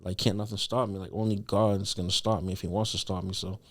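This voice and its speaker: A man's voice: deep voice, flat delivery, fast talker. A young man telling a personal story as a podcast guest in a casual, slangy, earnest conversational way.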